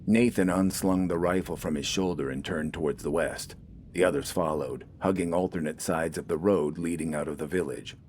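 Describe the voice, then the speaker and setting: A man's voice: deep voice, normal speed, a little mellow, a little hiss or hum. A man reading scripted fiction as an audiobook narrator.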